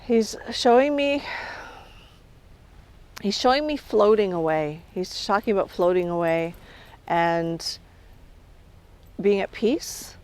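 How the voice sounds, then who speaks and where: A middle-aged woman working as a spirit medium, talking calmly outdoors on an island and often trailing off quietly. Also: mid-range voice, slow pace, pauses often. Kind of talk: on-location reality show narration.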